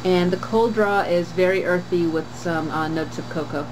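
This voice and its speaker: Woman, 30s, lowish voice, calm cigar reviewer with halting pauses; noisy outdoor camera audio. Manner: calm, measured, with frequent "uh" pauses. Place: screened porch outdoors, trees behind.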